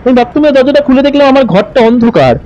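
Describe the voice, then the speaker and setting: A high-pitched man narrates a ghost story. His delivery swings widely in pitch and builds suspense. He leans on dramatic pauses and repeated phrases as he tells it in the first person. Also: animated, dark tone, muffled recording.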